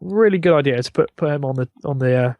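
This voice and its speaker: British male podcast co-host, informal chat, fairly high-pitched, no room echo. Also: fast, phone-quality sound. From United Kingdom. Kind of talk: casual chat.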